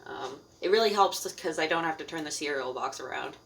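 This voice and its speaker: Woman from ontario, canadian accent, casual stream talk w lots of ums, slightly low pitch, light background noise. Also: a little fast, gravelly, a bit bright. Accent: Canadian English. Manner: chatty, rambling, laughs often, many fillers. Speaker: cooking streamer. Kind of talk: casual live-stream chat while cooking. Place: home kitchen.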